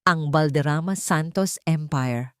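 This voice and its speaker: A woman's voice: low voice, measured pace, smooth, dry recording. A wealthy Filipino suitor from a romance story, acted out by a woman. He is suave, shrewd and calculating, with a probing manner, in a dry studio-close recording.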